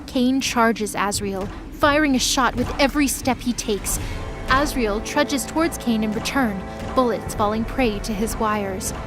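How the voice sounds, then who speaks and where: A woman narrates an audio drama in a fairly high voice. She reads scripted third-person action as the storyteller. Clear music plays behind her. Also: unhurried.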